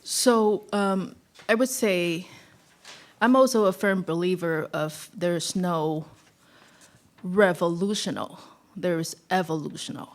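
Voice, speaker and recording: A woman's voice: fairly low pitch, slow pace. A woman guest speaker giving a casual, reflective talk, chatty and conversational in manner. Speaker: guest speaker, violinist and educator.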